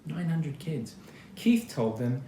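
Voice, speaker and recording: A man's voice: on the high side, takes it slowly. A man reading a children's picture book aloud expressively, adding chatty little asides as he goes. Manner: expressive, acts out quoted lines, chatty asides. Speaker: storybook reader. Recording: roomy echo, faint background noise.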